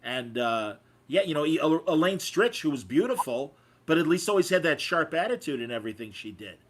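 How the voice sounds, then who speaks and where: A man hosting a casual interview, conversational and a bit halting as he thinks aloud. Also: on the high side, sing-song, slight background noise. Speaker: podcast host.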